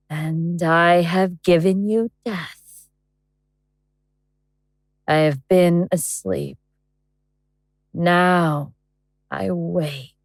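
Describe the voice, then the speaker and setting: A woman playing a contemptuous ancient elder goddess in a horror audio drama, grandiose, deliberate and menacing. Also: fairly low pitch, vocal fry, dry recording, studio quality, exaggerated voice. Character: cold, contemptuous ancient elder goddess awakening to devour humanity.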